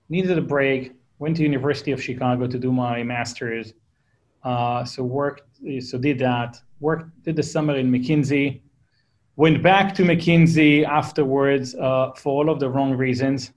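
A man's voice: mid-range voice, steady tone, a little slow. A man in his forties from Israel with an Israeli accent, a founder being interviewed, talking casually and repeating words as he restarts. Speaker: guest, company founder.

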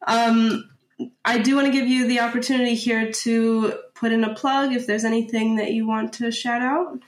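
A woman hosting a podcast, her voice sitting a little high. She sounds friendly and encouraging. The recording has noticeable room echo. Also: fairly even intonation, moderate pace. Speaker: podcast host. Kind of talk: interview hosting. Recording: echoey room.

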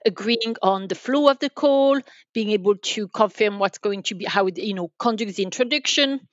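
A woman with a French accent answers interview questions conversationally. Her voice sits at an average pitch, and the sound is dull and very dry, with little of the room in it. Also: normal speed, no echo. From France. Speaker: podcast guest, telecom sales manager.

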